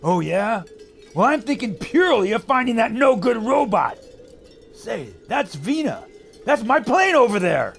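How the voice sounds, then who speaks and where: An actor playing a brash, stubborn adventurer pilot who blusters in old-time radio serial style. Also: male voice, very high voice, sing-song, measured pace, vocal fry, fairly bright tone, soft background music, heavily acted. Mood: grumbling. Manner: blustering, exaggerated.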